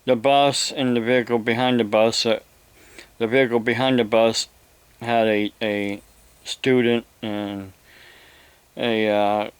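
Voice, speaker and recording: An older man with an American accent delivers a rambling monologue, halting and deliberate, often repeating his phrases. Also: mid-range voice, little pitch movement, smooth, no room sound. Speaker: evangelist vlogger.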